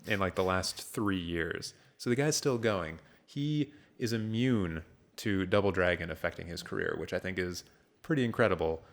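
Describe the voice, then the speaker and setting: Low-voiced man on a film podcast. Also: normal speed. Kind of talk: casual film discussion.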